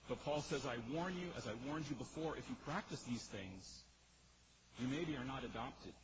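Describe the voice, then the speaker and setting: A man's voice: mid-range voice, normal speed. A man preaching an expository sermon in a powerful voice, emphatic yet conversational, putting questions straight to his listeners. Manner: emphatic, conversational, rhetorical questions and direct address. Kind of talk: sermon, expository teaching to a congregation. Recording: muffled sound with clear background noise.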